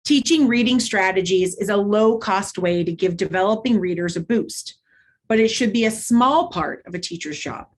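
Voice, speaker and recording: A woman instructor giving an instructional presentation, explaining in a clear, structured way with short measured phrases. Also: medium pitch. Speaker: literacy instructor. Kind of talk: instructional presentation with modeled read-aloud.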